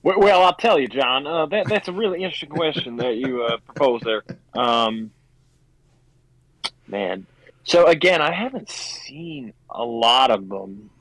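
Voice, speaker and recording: A man chatting casually as a podcast panelist, hesitant and deliberating out loud. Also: mid-range voice, dry recording, rough recording. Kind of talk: casual chat.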